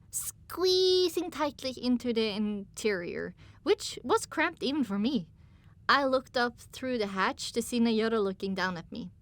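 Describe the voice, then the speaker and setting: A woman reads first-person fiction as an audiobook narrator. Her voice is fairly high and moves widely in pitch, giving the scripted prose a dramatic, expressive shape.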